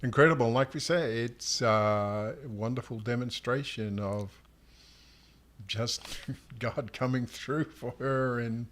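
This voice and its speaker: A man's voice: mid-range voice, measured pace, fairly bright tone. A man co-hosting a livestream as an author, chatting casually in a hesitant, easygoing conversational way.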